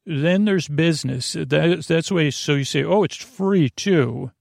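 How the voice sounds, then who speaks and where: A man hosting a podcast, delivering a meandering, halting, self-deprecating bedtime monologue that keeps interrupting itself with digressions. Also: on the high side, creaky, a little mellow, no echo. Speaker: podcast host.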